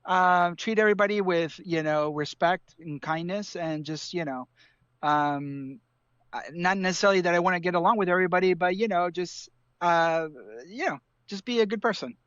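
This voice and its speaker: A man's voice: sits high, moderate pace, clear, resonant tone, dry recording. Easygoing man, rambling, dull dry audio. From Ecuador. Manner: relaxed, rambling with many fillers. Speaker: guest artist. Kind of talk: casual interview chat. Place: home room in hot city with air conditioning.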